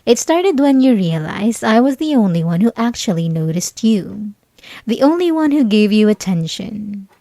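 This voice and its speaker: A young woman playing a mocking, possessive bully in an intimate ASMR roleplay, condescending and teasing, with breathy asides. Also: medium pitch, very animated, normal speed, mellow, dry recording. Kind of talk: ASMR roleplay, intimate scripted monologue to listener.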